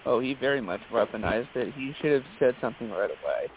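A man's voice: mid-range voice, expressive, fast talker, slight background noise. A man in a casual podcast group chat, speaking emphatically with firm opinions. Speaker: podcast panelist. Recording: phone-like call audio.

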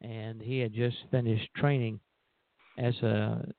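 A man's voice: fairly low pitch, flat delivery, takes it slowly, gravelly, dry recording. An older man co-hosting a radio show, reminiscing casually in a hesitant, meandering way. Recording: dry, narrow phone line.

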